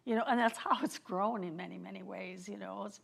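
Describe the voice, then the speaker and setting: A woman's voice: mid-range voice, steady tone, fairly quick, vocal fry, fairly bright tone, husky. Woman, middle-aged, mid-range voice, thoughtful, conversational. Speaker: festival co-founder. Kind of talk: conversational recollection.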